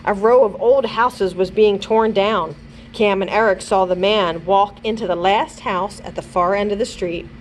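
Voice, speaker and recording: A woman's voice: mid-range voice, moderate pace, a little hiss or hum, rough recording. A woman reading a children's chapter book aloud expressively, as a librarian would.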